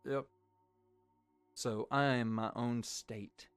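A man with a mix of Southern US and Irish accent, a laid-back podcast host with a voice deepened by a cold, talking bluntly. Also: steady tone, slow, smooth, no echo. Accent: Southern US mixed with Irish. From Arkansas, USA. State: voice deeper than usual from a cold. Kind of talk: casual podcast chat.

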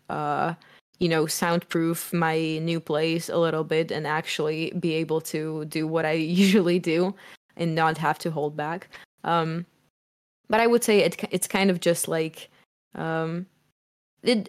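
A young woman musician speaking loudly in a casual interview, rambling with fillers. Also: low-pitched. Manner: loud, strong voice. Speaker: musician guest.

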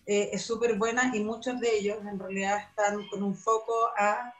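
A woman's voice: medium pitch, little pitch movement, moderate pace, a bit bright, poor audio. A woman with a Chilean Spanish accent hosting a science interview, upbeat and chatty with many fillers. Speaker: host.

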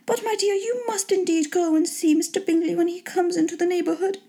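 A woman voicing a fussy, excitable middle-aged wife and mother in a storybook reading, gushing, pleading and impatient. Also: very high voice, brisk, pitched above the performer's own voice. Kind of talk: storybook character voice in an audiobook reading.

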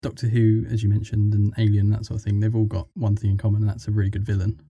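Low, even-toned male co-host. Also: fast, breathy edge, dry recording. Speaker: podcast co-host interviewer. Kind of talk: casual interview questions.

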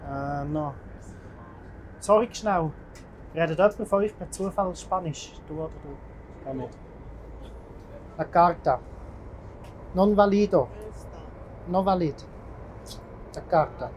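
Over clear train noise, a conductor speaks in a medium-pitched voice with a Swiss German accent. The talk is casual and practical, like one colleague explaining the job to another. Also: takes it slowly, long pauses, darkish tone, low-quality recording. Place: aboard a moving passenger train. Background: train rumble and vehicle noise.

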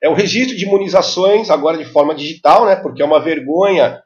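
A man's voice: on the high side, fast talker, darkish tone. A Brazilian man in his fifties explaining things as an interview guest, didactic, often adding short tag questions to check the listener follows. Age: 50s. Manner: didactic, with frequent tag questions seeking agreement. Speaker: interview guest, health informatics specialist. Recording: dull, lo-fi audio.

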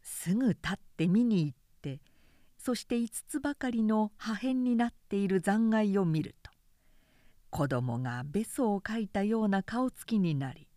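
Expressive, mellow woman narrator. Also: medium pitch, dry recording, studio quality. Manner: measured, expressive storytelling. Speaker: narrator, reciter. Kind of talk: scripted literary narration of a period story.

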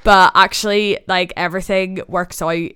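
Chatty young Northern Irish woman, smooth voice. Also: steady tone, moderate pace, pushed and bright. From Northern Ireland. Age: young adult. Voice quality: deep voice. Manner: chatty, rambling, apologetic, laughs often. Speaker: podcast host being interviewed. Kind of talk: casual chat.

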